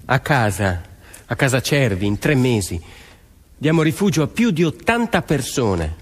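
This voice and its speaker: A man's voice: medium pitch, big pitch swings, measured pace, slightly rough. A man playing a 1940s Italian partisan peasant in a theatrical monologue, speaking in short, dramatic, emphatic phrases. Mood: earnest. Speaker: stage actor and storyteller. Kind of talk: theatrical narrative monologue with music. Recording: long hall reverb, music underneath.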